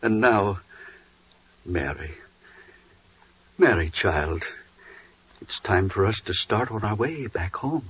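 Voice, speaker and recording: A man's voice: lowish voice, animated, takes it slowly, long pauses, fairly bright tone, slightly rough, heavily acted. An actor playing a troubled, humble, pious biblical father, earnest and theatrical in 1940s radio drama style. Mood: resolved, gentle. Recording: muffled, dry vintage 1940s radio recording.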